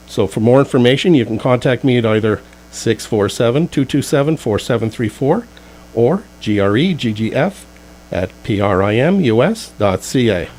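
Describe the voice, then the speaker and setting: A man's voice: medium pitch, lively intonation, moderate pace, a little mellow. A man hosting a radio talk show from a studio, reading out contact details in an announcer-like way before talking with guests.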